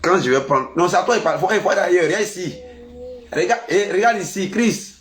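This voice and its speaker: A man with an Ivorian French accent and a cold, talking casually to his followers in a lively, scolding way. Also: brisk. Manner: lively, scolding, animated pitch jumps. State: has a cold. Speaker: vlogger. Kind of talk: casual monologue to camera.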